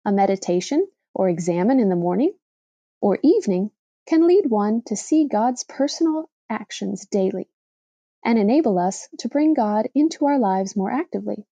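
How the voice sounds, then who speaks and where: A woman reading a reflective religious essay aloud as scripted narration, with measured, deliberate phrasing. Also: medium pitch, expressive, mellow, no echo. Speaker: reader of a blog post for a podcast.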